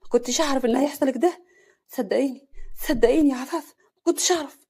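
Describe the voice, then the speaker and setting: A woman's voice: mid-range voice, fairly even intonation, fast talker, darkish tone, husky, close to an everyday voice. A woman doing an anguished young husband. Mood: pleading. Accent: Egyptian Arabic. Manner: pleading, anguished. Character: desperate young husband Hassan.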